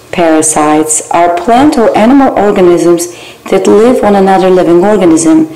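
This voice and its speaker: Gravelly low-voiced woman reading slides. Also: reverberant, rough recording. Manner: steady, measured slide reading with small grammar slips. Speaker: barbering theory instructor. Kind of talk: reading exam slides aloud.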